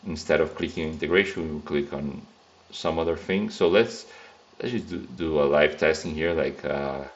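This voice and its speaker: A man's voice: a bass voice, normal speed, lo-fi sound. A man whose first language is Brazilian Portuguese, speaking English with a Brazilian accent as he walks through a coding tutorial, talking casually and thinking aloud with many fillers and restarts. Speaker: software test engineer. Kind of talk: screen-recorded coding tutorial.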